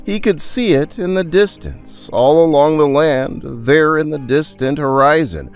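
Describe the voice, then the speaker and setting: A man's voice: fairly high pitch, measured pace, clear, resonant tone. A man narrating a scripted fantasy adventure as a theatrical, suspenseful storyteller. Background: background music.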